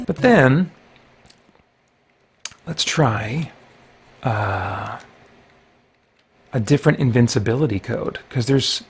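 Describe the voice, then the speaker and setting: A man's voice: medium pitch, a little hiss or hum. A man who hosts a gaming and faith channel, talking in a casual commentary style as if thinking aloud to himself. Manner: talks to himself while playing. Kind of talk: casual gameplay commentary and devotional talk.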